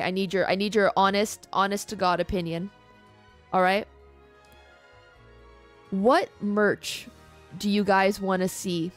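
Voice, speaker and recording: A woman's voice: medium pitch, a bit bright. A woman livestreamer chatting casually and playfully with her live audience in an informal, mildly sweary way. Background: background music.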